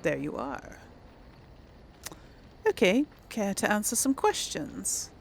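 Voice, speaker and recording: A woman's voice: medium pitch, very animated, takes it slowly, gravelly, slight background noise. A woman hosting a gaming video, giving casual commentary and lightly role-playing dialogue. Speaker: gamer, video host.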